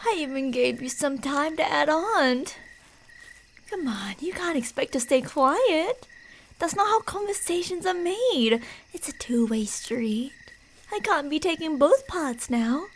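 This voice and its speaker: A woman playing a creepy, delusional stalker posing as a park ranger in an ASMR roleplay, teasing and falsely sweet, slipping between soft speech and whispers. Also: high voice, animated, slow, slight background noise. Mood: teasing, eerie.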